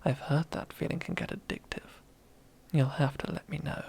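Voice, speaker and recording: A woman's voice: deep voice, normal speed, gravelly, a little mellow, slightly rough, heavily acted. A woman playing a domineering, teasing female boss in an ASMR roleplay, half-whispering in a seductive, commanding way. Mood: smug, teasing. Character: domineering, teasing female boss relishing power over old rival.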